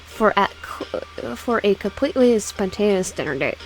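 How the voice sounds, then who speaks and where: A woman's voice: medium pitch, lively intonation, moderate pace, poor audio. A woman casually reading a visual novel game aloud with personal asides, often stumbling over words and repeating phrases. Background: background music.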